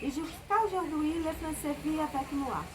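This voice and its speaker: A woman's voice: high voice, moderate pace, noisy background. A Brazilian woman in her fifties, a teacher, introducing herself in French with a Portuguese accent, cheerful and light. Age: 50s. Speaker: teacher, school principal. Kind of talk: self-introduction.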